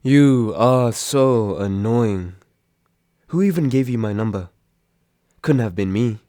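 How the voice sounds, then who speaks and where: A man's voice: medium pitch, lively intonation, slow, dark tone, no echo, studio quality. A young man acting a grumpy, sarcastic but secretly caring roommate woken from sleep, grumbling and sighing in an intimate roleplay audio drama. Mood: annoyed. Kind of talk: intimate roleplay audio drama, one side of a phone call.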